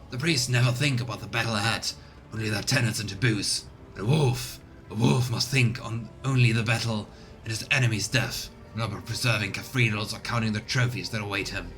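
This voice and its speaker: A man's voice: lowish voice, a little fast, fairly bright tone, lightly acted. A man voicing a boastful, bloodthirsty space warrior in a grandiose, declamatory game-character style, with music behind him. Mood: fierce, proud. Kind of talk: dramatic video game character reading.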